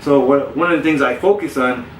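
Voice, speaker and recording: A man who grew up in South Florida, a personal trainer telling his story in a relaxed, candid way with frequent restarts. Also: mid-range voice, fairly quick, a little hiss or hum. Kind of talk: casual podcast interview. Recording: roomy, distant mic with faint ambience.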